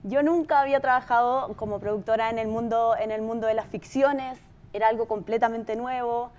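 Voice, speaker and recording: A woman's voice: on the high side, steady tone, fairly quick, darkish tone, lo-fi sound. A Chilean woman speaking as a producer, telling a story in an informal, conversational presentation with emphatic repetition. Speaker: podcast producer giving a talk.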